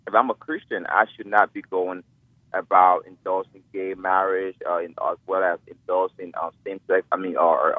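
A man from Africa calling in to a radio show, speaking hesitantly with many pauses and fillers. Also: deep voice, dry recording. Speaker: caller. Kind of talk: call-in radio caller. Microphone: telephone. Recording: tinny phone line.

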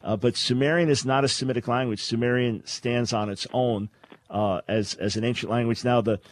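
A man's voice: mid-range voice, steady tone, a little fast, no echo. A man hosting a call-in radio show, explaining Bible languages in a scholarly way with frequent restarts. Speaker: radio talk show host.

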